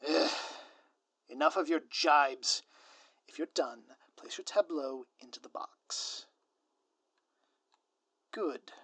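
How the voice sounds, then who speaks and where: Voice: medium pitch, takes it slowly, long pauses, a bit bright, slightly rough, no echo, heavily acted. A performer voicing a scheming, smug ex-supervillain spouse in a scripted audio roleplay, with a theatrical, sardonic, grandiloquent manner. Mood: flustered, indignant, then haughty. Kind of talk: scripted audio roleplay monologue addressed to listener.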